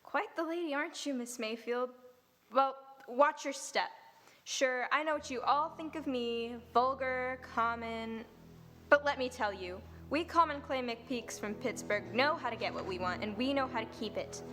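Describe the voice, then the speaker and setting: A woman's voice: high voice, moderate pace, a bit bright, soft background music. A woman playing a brash, jealous woman who sneers at her rivals, in an audio drama. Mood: sneering. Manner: sneering, combative. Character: brash, jealous wealthy woman, self-made. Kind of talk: radio drama acting.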